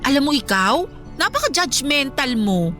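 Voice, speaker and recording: A woman playing a good-natured young best friend in a radio drama, chatty, coaxing and gently scolding, with a Filipino accent. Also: sits high, big pitch swings, moderate pace, faint music behind, full character voice. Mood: chiding, teasing.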